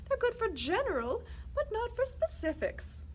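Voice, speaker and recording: Woman putting on a high, theatrical old lady. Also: sing-song, a little hiss or hum. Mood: fussy, knowing. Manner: theatrical, ominous, drawn-out words. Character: dramatic elderly former actress, triumphant and fussy.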